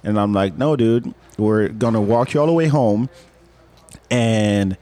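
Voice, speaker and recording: A man's voice: on the low side, measured pace, warm tone. A man from Senegal telling a ghost story in casual talk, rambling and repeating words as he acts out the dialogue. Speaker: guest storyteller.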